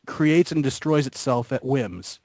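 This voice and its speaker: A man rambling casually in a group chat, halting and self-deprecating as he piles one thought onto the next. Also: mid-range voice, expressive, darkish tone, no room sound. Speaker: gaming group member.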